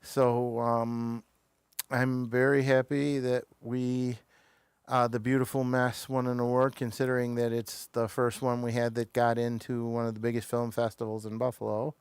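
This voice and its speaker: A man's voice: mid-range voice, flat delivery, normal speed, clear, resonant tone, dry recording. A man with an American accent, hosting a casual talk show in a hesitant, wandering way, often trailing off mid-sentence.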